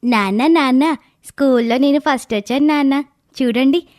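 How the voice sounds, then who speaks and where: Little daughter, played by a woman: high voice, chirpy, childlike, eager and fond, for a storybook tale. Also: moderate pace, close to an everyday voice. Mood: excited. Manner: excited, childlike, affectionate.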